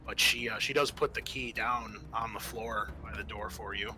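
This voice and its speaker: A man's voice: on the low side, steady tone, normal speed. A man narrating a tabletop roleplaying game as game master, casually and hesitantly, improvising as he goes. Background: background music.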